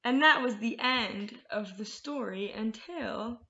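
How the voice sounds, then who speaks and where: A woman hosting storytime as a children's librarian, expressive and encouraging as she reads and explains to little ones. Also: on the high side, slow pace. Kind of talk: storytime read-aloud and teaching rhymes to children. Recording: echoey room, slightly muffled sound.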